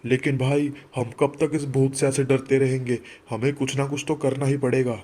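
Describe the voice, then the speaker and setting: A man acts a worried villager in an animated moral tale. He talks earnestly, in an everyday conversational way at medium pitch. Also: normal speed, natural voice. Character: worried village men discussing a ghost.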